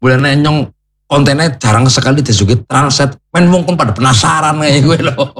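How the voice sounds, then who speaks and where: A man's voice: medium pitch, a little fast, a bit bright, a little hiss or hum. Chatty man with a Ngapak accent. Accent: Banyumasan Javanese (Ngapak). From Banjarnegara, Central Java. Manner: chatty, laughs often, repeats himself. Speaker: guest content creator. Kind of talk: casual interview chat.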